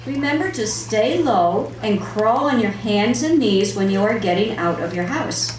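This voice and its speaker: A very echoey, distant recording of a calm helper played by a woman in a children's puppet show. The voice is mid-pitched and gives instructions in a measured way. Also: natural voice. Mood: calm, instructive. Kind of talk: scripted children's safety show. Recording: very echoey room, distant, faint noise.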